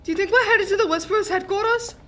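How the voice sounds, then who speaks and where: A woman's voice: very high-pitched, quick pace. A woman playing an anxious, earnest young heroine, a detective's companion, in an old-time radio drama. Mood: curious, anxious. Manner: earnest, excitable.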